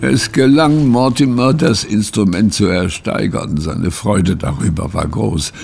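A man acting in a German audio drama as a bewildered, troubled gentleman, telling his account in a solemn, halting way. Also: lowish voice, creaky, faint music behind. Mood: earnest recounting.

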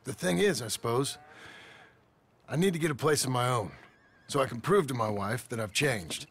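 An actor playing an earnest, humble American ranch hand in a western video game, speaking haltingly and deferentially in a gravelly voice. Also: male voice, medium pitch. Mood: earnest, hesitant. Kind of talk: video game cutscene acting.

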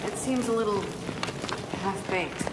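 A woman's voice: mid-range voice, unhurried, natural voice. An actress playing a stubborn young female soldier in a video game, speaking in a wry, casual way. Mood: wry, sceptical. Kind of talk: video game cinematic acting. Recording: loud game ambience and vehicle noise.

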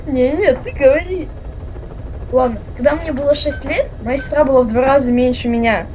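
A woman's voice: high-pitched, moderate pace, dull audio. A woman chatting casually during a game, teasing and insistent, repeating herself. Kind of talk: casual chat while gaming.

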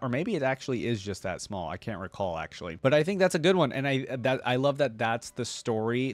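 Low-pitched young man, casual commentary. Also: dry recording. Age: young adult. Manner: informal, explanatory. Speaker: reaction video host. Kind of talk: casual reaction commentary with some reading aloud. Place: small room indoors at a desk. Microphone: close desk microphone.

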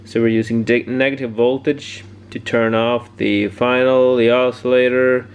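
A man giving a casual, unscripted hobby demonstration, haltingly searching for words, in a home workshop. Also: medium pitch, level tone. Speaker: amateur radio hobbyist presenting homebrew project. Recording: clear background noise, room ambience.

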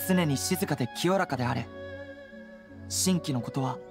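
A man's voice: mid-range voice, normal speed, vocal fry, music in the background, natural voice. A man voicing a solemn young soldier in an anime game, reciting in a formal way.

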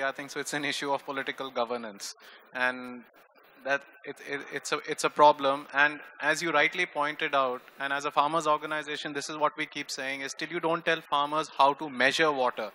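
A middle-aged farmer from Punjab speaks from the floor of a conference hall into a handheld microphone. He is emphatic and forceful, with a slightly raised, bright voice. Faint background noise is present. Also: fairly high pitch. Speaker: farmer, audience member.

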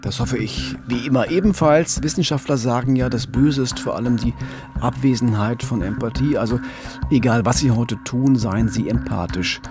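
A man's voice: medium pitch, normal speed, over music. A man, talking earnestly and explaining things as co-host of a true-crime podcast.

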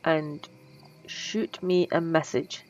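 A woman voicing a refined, possessive female vampire centuries old, speaking in a formal, old-fashioned way in a soft, intimate ASMR roleplay. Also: low-pitched, fairly even intonation, slow pace, dull audio. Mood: sultry, possessive.

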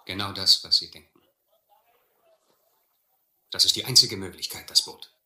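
An actor playing an earnest, educated man in a sea adventure radio play, with a measured, literary delivery. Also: low voice, sharp tone. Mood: urgent, concerned. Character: earnest, educated castaway man narrating his sea ordeal. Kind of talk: German radio play acting and narration. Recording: roomy, faintly noisy old radio play.

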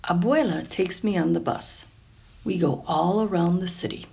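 A woman reading a children's picture book aloud in an expressive, deliberate storytelling way, lingering over phrases. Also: lowish voice, creaky. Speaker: storybook reader.

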